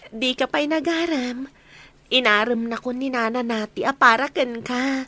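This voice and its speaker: A woman's voice: high-pitched, takes it slowly, pushed and bright, full character voice. A woman with an Ilocano accent playing a sweet, smitten young bride-to-be in a comedy radio drama, coy and gushing. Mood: coy, delighted.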